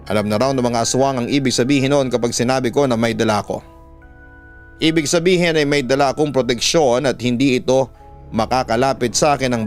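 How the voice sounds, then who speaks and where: A man narrating a scripted horror story like a radio announcer, in a deliberate, suspenseful way. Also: medium pitch. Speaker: radio announcer reading listeners' horror stories. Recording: music bed under the voice. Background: background music.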